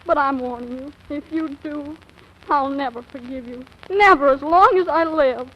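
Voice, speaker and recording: A woman's voice: sits high, normal speed, natural voice. A woman playing an impetuous, lovesick fifteen-year-old girl in a 1940s radio drama, melodramatic and pleading. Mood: earnest, defiant. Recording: muffled old radio recording.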